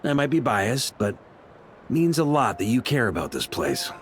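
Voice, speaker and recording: Easygoing, expressive game knight. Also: male voice, mid-range voice, unhurried, a little hiss or hum. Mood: reflective, sincere. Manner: casual, wry, reflective with chuckles. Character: easygoing adult male knight, wry and warmly reflective. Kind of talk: video game voice acting. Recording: voice over background music. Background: music.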